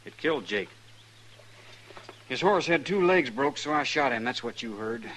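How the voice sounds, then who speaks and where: An actor playing a stubborn, plain-spoken cowboy in an old radio western, with a rustic, defiant manner. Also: male voice, mid-range voice, expressive, moderate pace, a bit bright, close to an everyday voice. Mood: insistent. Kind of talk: 1950s radio western acting. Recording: noisy vintage radio recording.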